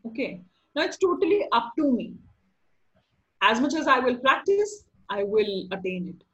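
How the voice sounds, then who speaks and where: A middle-aged woman with a slightly raised pitch and a faint nasal quality. She explains exercises patiently as a podcast guest and slips in playful jokes. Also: very animated, moderate pace, fairly bright tone, dull audio. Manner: instructive, playful, laughs often. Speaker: podcast guest, voice-over artist. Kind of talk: casual interview.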